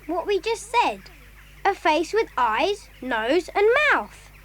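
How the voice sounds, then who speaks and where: An earnest boy adventurer in a children's audio drama. The voice is very high, with animated rises and falls, plucky and sincere. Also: takes it slowly, exaggerated voice. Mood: earnest, puzzled.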